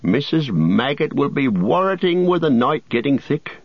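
A man voicing a gruff, kindly hobbit farmer with blunt country talk, on a dull lo-fi audiobook recording. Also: mid-range voice, very animated, moderate pace, dry recording, pitched above the performer's own voice.

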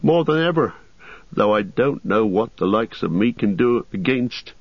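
A man voicing a fussy, excitable middle-aged innkeeper who frets loudly in a raised voice. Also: no echo, muffled recording. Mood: flustered. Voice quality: excitable, high and loud, quick to fret.

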